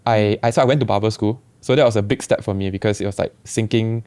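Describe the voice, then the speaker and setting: Soft-spoken singaporean man, dry sound. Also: on the low side, fairly even intonation, fast, clear, resonant tone, no room sound. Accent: Singaporean English. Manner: reflective, storytelling, many restarts. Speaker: barber guest. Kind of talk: casual podcast chat.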